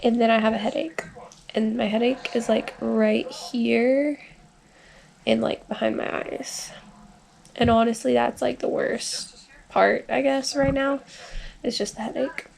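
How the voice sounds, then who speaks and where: A woman with a congested, sick-sounding voice talks to her camera in a rambling video diary. Her voice sits a little high and has a gravelly, fry-heavy edge. She speaks slowly and leans on filler words. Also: steady tone, darkish tone, low-quality recording. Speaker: vlogger. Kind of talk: casual personal video diary.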